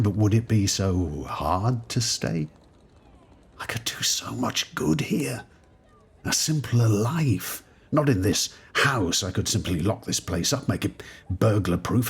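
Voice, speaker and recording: Audio drama role: troubled male time traveller, middle-aged, very deep register, heavily rasped husk. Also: exaggerated voice. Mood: tender, wistful. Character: troubled, guilt-ridden male time traveller posing as a surgeon. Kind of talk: audio drama diary monologue and dialogue.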